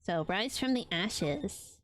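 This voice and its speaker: A woman's voice: medium pitch, lively intonation, takes it slowly. A woman from Latin America who streams games, chatting casually and affectionately with her live viewers, with frequent ums and a voice tired after a long stream. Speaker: game streamer.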